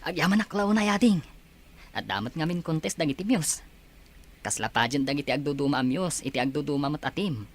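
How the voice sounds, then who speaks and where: A woman acts out an earnest older male schoolmate for an audio drama. The voice sits low for a woman, with a coaxing, flattering manner meant to persuade. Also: brisk. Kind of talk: Ilocano audio drama acting.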